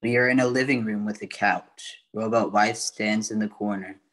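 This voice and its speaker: Low-voiced man narrating a radio play. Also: fairly even intonation, moderate pace, fairly bright tone.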